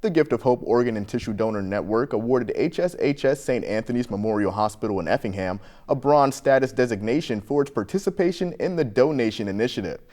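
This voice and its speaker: A man's voice: medium pitch, a little mellow. An American man reading scripted local news as an anchor.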